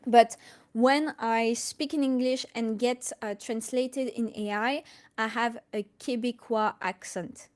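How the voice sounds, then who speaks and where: A woman from France with a French accent, answering questions casually on a live stream and haltingly thinking aloud as she goes. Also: fairly high pitch, steady tone. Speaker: colorist hosting a live Q&A.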